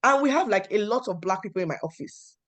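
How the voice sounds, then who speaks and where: A young adult woman from Nigeria with a Nigerian accent, telling personal stories at a talk in an animated way and acting out the dialogue. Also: mid-range voice, quick pace. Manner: animated, acts out quoted dialogue with emphatic repetition. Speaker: panel speaker.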